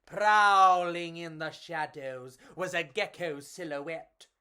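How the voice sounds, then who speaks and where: A woman reading to children as a storyteller, with a theatrical, playful and measured delivery. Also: on the low side, sharp tone, clean tone.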